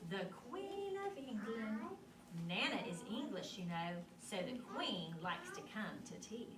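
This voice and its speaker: A woman reading a picture book aloud to children, expressive and teacherly, pausing to put questions to her young listeners. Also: medium pitch, crowd noise. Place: echoey indoor room at a school literacy event. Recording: roomy, distant mic with clear background noise.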